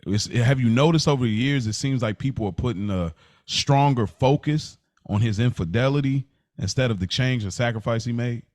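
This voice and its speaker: An American man co-hosting a casual podcast discussion, thinking aloud in a halting way and circling back to rephrase. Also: medium pitch, a little mellow, no echo, studio quality. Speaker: podcast co-host.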